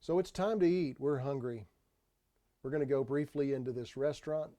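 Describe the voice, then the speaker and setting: Guy doing voice-over for a travel tour video, relaxed mellow tone, kinda halting, repeats himself as he explains stuff. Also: mid-range voice. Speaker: tour narrator.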